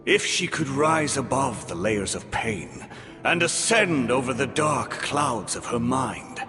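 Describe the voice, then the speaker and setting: Solemn, theatrical, sweeping-voiced game monologuist. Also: male voice, medium pitch, animated, takes it slowly. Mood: grave, poetic. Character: theatrical male narrator reflecting solemnly on suffering and flight. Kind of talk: dramatic scripted monologue. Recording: long hall reverb, loud music underneath.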